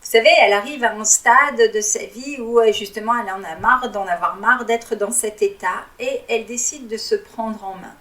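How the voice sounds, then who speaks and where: A woman whose first language is Arabic, speaking as a life coach and guiding listeners through a reflection in a calm, teaching way with frequent hesitations. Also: a little high, normal speed, few pauses, reverberant, far from the mic. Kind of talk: guided reflection talk.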